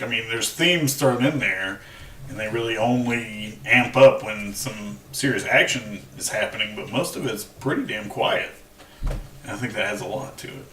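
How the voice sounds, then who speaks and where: A man heard with strong room echo, slightly distant from the microphone. His voice is medium in pitch, and he chats casually as a podcast panelist. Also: fairly bright tone. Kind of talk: casual film discussion. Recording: echoey, distant, faint background noise.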